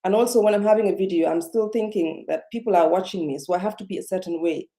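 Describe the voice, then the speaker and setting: Woman, second-language English, low pitch, dark mellow tone, hesitant, filler-heavy, solo vlog indoors. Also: quick pace. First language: not English. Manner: hesitant, many fillers and restarts. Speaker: self-help vlogger. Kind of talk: unscripted talk to camera. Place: indoors, seated. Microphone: webcam or computer mic.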